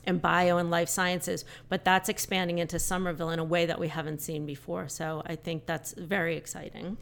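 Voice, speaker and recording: Middle-aged woman, podcast guest in real estate PR; low voice, smooth delivery, measured and upbeat. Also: level tone. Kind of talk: podcast interview.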